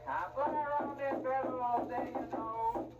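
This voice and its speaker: Man as shrill fairy-tale scold, female and bossy, far higher than his own voice, loud music behind. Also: unhurried, highs cut off.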